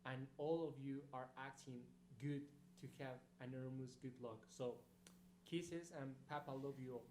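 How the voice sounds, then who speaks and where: A man's voice: medium pitch, fairly even intonation, normal speed. A male graduate giving a recorded congratulatory message, earnest and searching for words. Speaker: graduate sending a video message. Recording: echoey recording with faint hum, played in a hall.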